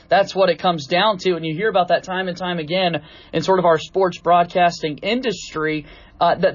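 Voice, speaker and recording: A male sports podcast host with a noticeably high voice. He talks in a conversational presenter's style, and the sound is very dry with no room on it. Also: no room sound, muffled recording. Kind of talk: conversational interview and sponsor reads.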